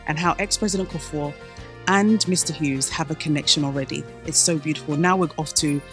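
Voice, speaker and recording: A woman host chats with her guests in a bright, bubbly way, quick to react and ask questions. Her voice sits in a middle range with clear music behind it. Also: deep voice. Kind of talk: casual chat.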